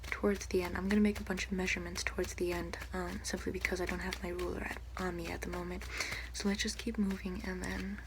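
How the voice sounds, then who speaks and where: Young woman doing asmr doctor roleplay, soft whispery voice, kinda low and flat, hesitant, clear hiss behind her. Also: quick pace, few pauses. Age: young adult. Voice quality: soft, breathy, often whispering. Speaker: ASMR creator playing a doctor. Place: small room at home, indoors. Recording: noticeable background hiss, home recording.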